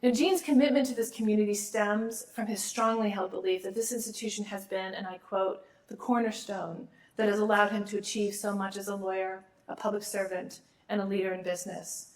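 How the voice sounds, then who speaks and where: A woman's voice: mid-range voice, steady tone, brisk, hardly any pauses, poor audio. Woman dean losing her voice. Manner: warm, formal, with small restarts and asides. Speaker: law school dean. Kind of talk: formal speech at an awards ceremony.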